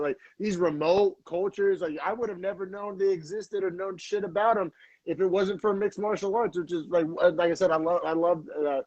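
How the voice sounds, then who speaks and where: A man's voice: on the high side, darkish tone, no echo. A young man co-hosting a podcast in casual chat, rambling with lots of fillers and restarts. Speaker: podcast co-host. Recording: dull, dry lo-fi sound.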